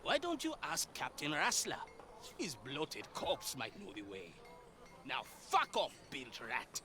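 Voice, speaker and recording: A man's voice: fairly high pitch, huge pitch swings, takes it slowly, bright, forceful tone, faint music behind, full character voice. An actor playing a bitter, foul-mouthed pirate man in a video game, threatening and sneering. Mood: contemptuous, angry. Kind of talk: dramatic video game acting.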